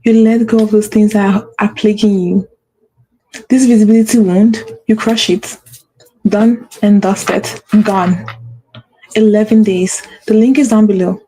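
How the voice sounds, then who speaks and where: A woman's voice: medium pitch, steady tone, a little slow, mellow, low-quality recording. A woman hosting a motivational talk, speaking with a stutter and repeating phrases for emphasis. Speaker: host.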